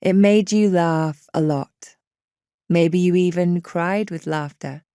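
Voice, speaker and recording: A woman leads a guided meditation in a soft-edged, relaxed voice. She speaks very slowly and reassuringly, with a smooth, clean tone. Her manner stays gentle and unhurried throughout. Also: on the low side, no echo, studio quality.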